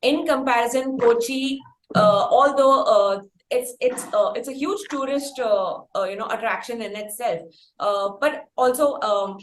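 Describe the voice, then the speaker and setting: A woman with an Indian accent interviewing in a conversational style, with wordy, halting phrasing. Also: on the high side. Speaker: podcast interviewer. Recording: roomy echo, faint background noise.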